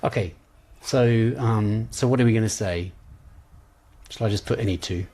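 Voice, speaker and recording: Low-voiced man leading an informal lesson. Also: moderate pace, mellow. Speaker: coach trainer leading exam preparation session. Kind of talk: informal online lesson with practice questions.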